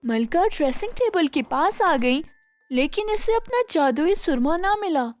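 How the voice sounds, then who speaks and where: Female storyteller for children's bedtime tales: high pitch, slow deliberate reading, expressive, soft music bed. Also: no echo.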